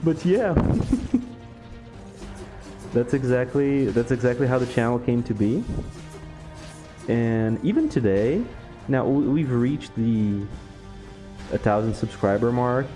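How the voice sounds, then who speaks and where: A young man from Brazil, a Portuguese speaker with a Brazilian accent, chatting casually over gameplay, relaxed and laughing often. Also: medium pitch, takes it slowly, long pauses, soft background music. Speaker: gaming YouTuber.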